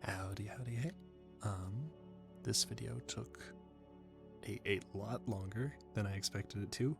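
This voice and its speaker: Young male creator, casual and self-effacing, gravelly low register, hesitant delivery, faint music behind. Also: a little slow. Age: young adult. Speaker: amateur voice actor, channel creator. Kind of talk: casual chat to viewers.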